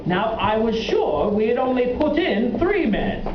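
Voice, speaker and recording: A man voicing an earnest, puzzled royal assistant in a children's puppet show, telling things deliberately. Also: extremely high pitch, big pitch swings, exaggerated voice. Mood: earnest storytelling. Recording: echoey, muffled, noisy room, far from mic.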